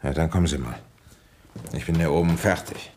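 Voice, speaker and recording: An actor playing a shrewd village police commissioner in a German radio crime drama, narrating his case in a measured, reflective, conversational way. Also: a bass voice, a little mellow, slight background noise. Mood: calm, probing.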